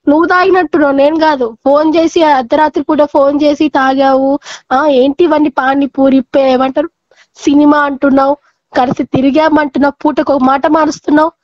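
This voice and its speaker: Woman, high pitch, blunt and challenging, casual phone argument, dry lo-fi recording. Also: steady tone, brisk, no room sound. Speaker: prank call recipient.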